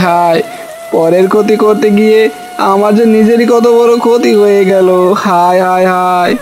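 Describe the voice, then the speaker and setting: পুরুষকণ্ঠে চড়া গলার নাটুকে কুচক্রী দোকানদার. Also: male voice, high voice, darkish tone, natural voice. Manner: wailing, melodramatic. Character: scheming, self-pitying rival eatery owner, melodramatic. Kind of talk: children's fairy-tale cartoon acting.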